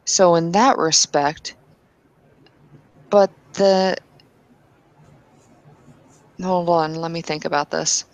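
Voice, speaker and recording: A woman playing slow, earnest kinfolk believer. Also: fairly low pitch, steady tone, a little mellow, no room sound, dull audio. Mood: pondering. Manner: hesitant, thinks aloud with fillers and restarts. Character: devout kinfolk woman of faith, earnest questioner. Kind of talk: tabletop roleplay in character.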